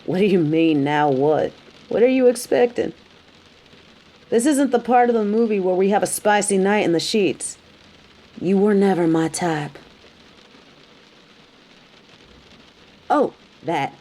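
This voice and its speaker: A woman's voice: medium pitch, mellow, a little hiss or hum, lightly acted. A woman playing a tough, sassy bounty hunter in an audio roleplay, speaking straight to the listener with dry, taunting, scolding bluntness. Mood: dry, sardonic. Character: tough, sardonic female bounty hunter, grudgingly hospitable, scolding. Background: rain.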